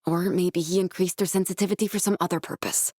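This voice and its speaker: A woman's voice: lowish voice, steady tone, fast, dark tone, no room sound, studio quality, close to an everyday voice. An actress voicing a determined, caring young woman scientist in a video game, speaking in an earnest, direct, instructive way with naturalistic dramatic delivery. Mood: matter-of-fact, businesslike.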